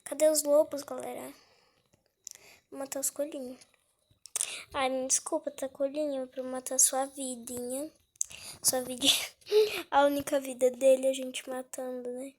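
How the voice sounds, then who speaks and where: High-voiced woman with a cold. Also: level tone, measured pace, long pauses. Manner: chatty, easily startled, often drops to a whisper. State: has a cold. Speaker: gaming channel host. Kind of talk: casual gameplay commentary.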